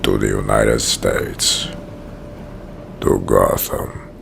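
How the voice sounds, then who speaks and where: A man playing a huge, menacing, obsessed prison-bred villain in a comic dub, narrating with slow, grandiose deliberation in a rumbling, gravelly voice. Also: little pitch movement, a little hiss or hum, full character voice. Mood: ominous, determined. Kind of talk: dramatic first-person comic dub narration.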